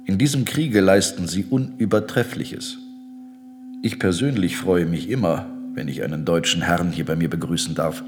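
A man acting in a German radio drama as a suave, powerful minister, polite, deliberate and formal. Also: sits low, background noise, lightly acted. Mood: smoothly courteous. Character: suave, courteous, powerful Ottoman war minister. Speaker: actor in a radio play.

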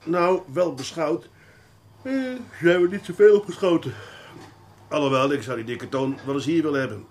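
A man playing a seasoned, world-weary police detective in a radio drama, deliberate and mock-agreeable, often repeating words as he probes. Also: on the high side, a little hiss or hum, close to an everyday voice. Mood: weary, wry.

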